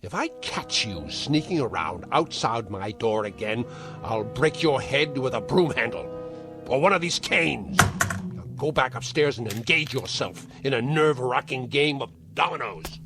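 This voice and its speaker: An actor playing a spiteful, frail old uncle in a vintage television drama, speaking in ornate, sardonic, theatrical phrases. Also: male voice, mid-range voice, animated, unhurried, over music. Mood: scornful, bossy. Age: older.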